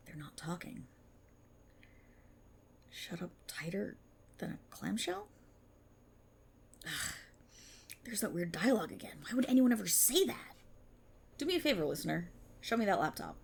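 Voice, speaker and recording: A woman performs an indignant policewoman who knows she is stuck in a script. Her slightly rough-edged voice swings widely in pitch as she delivers her lines with sarcasm and theatrical exasperation, in the style of a dramatic audio roleplay. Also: full character voice. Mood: suspicious, irritated. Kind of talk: ASMR audio roleplay monologue, dramatic acting.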